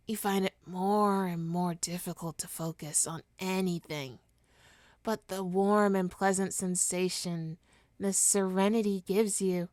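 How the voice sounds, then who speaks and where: Woman performing a female supervillain, seductive, smug, coaxing, unhurried hypnotic delivery, ASMR roleplay, close dry sound. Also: mid-range voice, no room sound, lightly acted. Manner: coaxing, teasing, deliberate.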